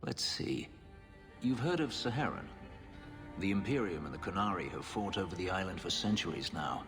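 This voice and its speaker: A man's voice: low-pitched, animated, moderate pace, big-room sound, music in the background. An actor playing a brooding, wry male escaped slave who tells his story dryly in a fantasy video game. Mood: reflective, bitter. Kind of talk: cinematic game dialogue, storytelling.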